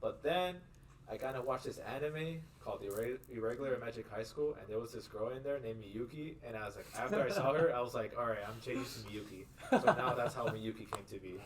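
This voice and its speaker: A man's voice: mid-range voice, a little fast, recorded from a distance, low-quality recording. A young man telling a story in slangy casual podcast chat. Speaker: esports team member.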